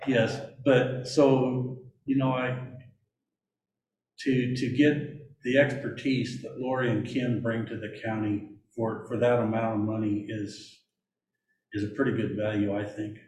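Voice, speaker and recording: A man's voice: mid-range voice, little pitch movement, darkish tone, a little hiss or hum. Hesitant man in an echoey meeting room. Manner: hesitant, measured. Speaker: meeting participant reporting on budgets. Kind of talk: public meeting discussion. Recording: roomy sound with faint ambience.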